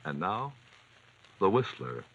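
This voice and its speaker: A man announcing and pitching for a sponsor in a polished, emphatic 1940s radio style. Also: big pitch swings, takes it slowly, a bit bright, faint music behind. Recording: dull vintage radio recording.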